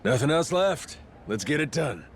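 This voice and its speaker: An actor playing a rough, cocky but loyal pirate captain with swaggering, slangy tough talk in a video game. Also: male voice, a little high, sing-song, normal speed, slight background noise. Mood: defiant, determined. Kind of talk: video game cutscene acting.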